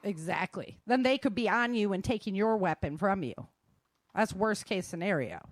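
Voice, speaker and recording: Woman, medium pitch, casual advice, emphatic, plain-spoken, conversational, dry close sound. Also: expressive, moderate pace, no echo. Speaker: guest sharing self-defense advice.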